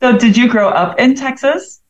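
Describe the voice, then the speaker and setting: A woman's voice: on the high side, moderate pace. A woman hosting a podcast interview, polite and effusive as she asks questions. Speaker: podcast host. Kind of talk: casual interview.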